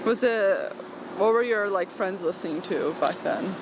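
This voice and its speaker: A woman's voice: medium pitch, measured pace. A woman hosting a casual interview outdoors on a city street, asking short, friendly questions. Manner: friendly, short prompts, laughs along. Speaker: interviewer, host. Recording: street noise behind.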